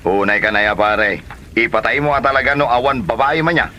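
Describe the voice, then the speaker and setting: A man's voice: medium pitch, fairly even intonation, a little fast, pushed and bright, slight background noise, natural voice. A man with a Filipino accent playing an exasperated, scolding friend in a comedy radio drama. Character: exasperated, gossipy friend who scolds and teases.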